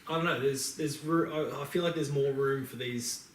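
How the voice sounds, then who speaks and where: A man's voice: medium pitch, steady tone, normal speed. A man co-hosting a podcast, giving his opinions in casual conversation. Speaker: podcast co-host. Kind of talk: casual chat about film and games. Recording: echoey room, distant mic, faint noise.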